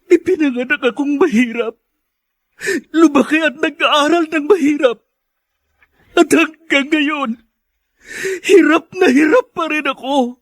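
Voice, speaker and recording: A man's voice: extremely high pitch, measured pace, long pauses, dry recording. An actor playing a despairing, tearful poor young man in a radio drama, lamenting in anguish. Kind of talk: Filipino radio drama acting.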